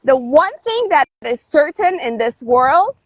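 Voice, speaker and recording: A high-voiced woman who grew up in Canada speaks as a guest on a phone livestream. She is upbeat and motivational and swings into emphatic lifts. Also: sing-song, measured pace, no room sound. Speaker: guest, DJ and life coach. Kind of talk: livestream interview.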